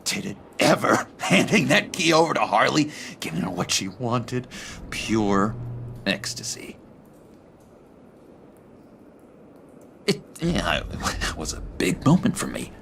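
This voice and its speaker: A man playing a needy, unhinged misfit in a video game, gushing and halting as he pleads for friendship. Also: mid-range voice, sing-song, vocal fry, a little hiss or hum, exaggerated voice. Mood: giddy, gushing. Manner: gushing, halting, giggly, swinging between chirpy and hushed.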